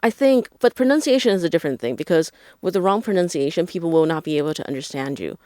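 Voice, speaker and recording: A woman teacher from Taiwan talks in a relaxed podcast chat, her pitch swinging widely as she acts out the people she quotes. The sound is dry and close, with no room behind her. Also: quick pace, no room sound. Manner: animated, acts out quoted voices. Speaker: English teacher, guest. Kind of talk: casual podcast conversation.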